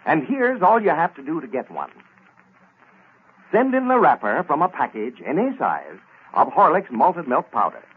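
Lively 1930s American radio announcer, man. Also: a little high, breathy edge. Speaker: radio announcer reading a sponsor message. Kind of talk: 1930s radio advertising pitch. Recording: tinny, band-limited vintage radio recording.

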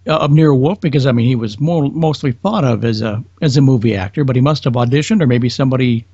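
Male interviewer, husky voice, rambling conversational questions, dull dry recording. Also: mid-range voice, quick pace, warm tone, no echo.

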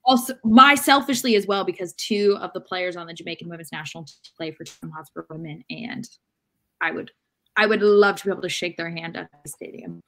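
Young woman on a sports podcast, lowish voice, casual chat over video call. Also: a little fast, sharp tone. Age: young adult. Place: room at home, video call.

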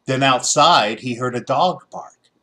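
A man's voice: medium pitch, measured pace, sharp tone. A middle-aged American man narrating a children's story expressively. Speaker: storybook reader.

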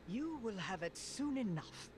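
Haughty villain sorceress, slow theatrical delivery, game acting, clear background noise. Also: female voice, mid-range voice, sing-song. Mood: cold, portentous. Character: imperious, menacing evil sorceress.

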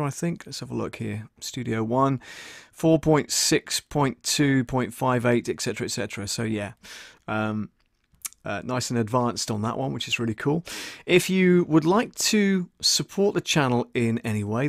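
A man's voice: mid-range voice, creaky, no room sound. Man, chatty, close dry sound. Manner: informal, chatty. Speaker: audio producer and live-stream host. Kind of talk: casual tutorial chat to viewers. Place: small soundproofed home studio in a converted shed. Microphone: desk condenser microphone, close.

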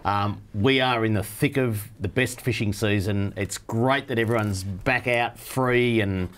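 Jokey Australian TV fishing-show host. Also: male voice, on the low side, unhurried. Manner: chatty, jokey, cheerful. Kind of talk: casual studio chat show. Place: studio set with a bar backdrop.

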